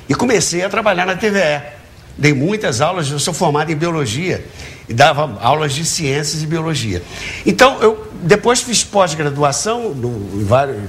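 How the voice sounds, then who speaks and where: Older male, Brazilian accent, very lively intonation, emphatic interview storyteller, slightly noisy sound. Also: a little high. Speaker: talk show guest, radio and TV educator. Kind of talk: interview, reminiscing.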